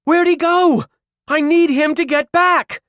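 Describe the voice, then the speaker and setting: A man voicing a determined young warrior woman in an audiobook, urgent and forceful. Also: very high-pitched, fairly even intonation, measured pace, no room sound, pitched above the performer's own voice. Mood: panicked.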